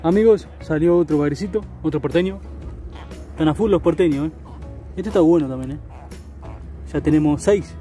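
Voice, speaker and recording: A man's voice: a little high, brisk, pauses often, dark tone, faint music behind. A young man with an Argentine Spanish accent, chatting casually to camera as a fishing vlogger on a riverbank, often tagging his sentences with "eh". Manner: chatty, upbeat, tags sentences with "eh". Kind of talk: casual outdoor fishing narration. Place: riverbank outdoors.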